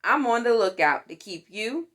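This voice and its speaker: A woman's voice: a little high, big pitch swings, moderate pace, fairly bright tone. A woman voicing an earnest, protective superhero boy in a storybook, speaking in heroic declarations.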